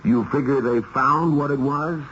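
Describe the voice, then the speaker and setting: Actor as deliberate police lieutenant, muffled old radio. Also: male voice, mid-range voice, a bit bright, natural voice. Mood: probing. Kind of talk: 1970s radio mystery acting. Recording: dull, hissy 1970s radio recording.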